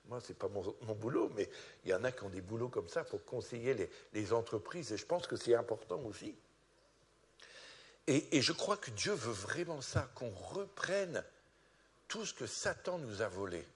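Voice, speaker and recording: A man from France giving a sermon as a pastor, animated and rising to loud peaks, often repeating words as he starts sentences. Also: mid-range voice, moderate pace. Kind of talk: testimony sermon.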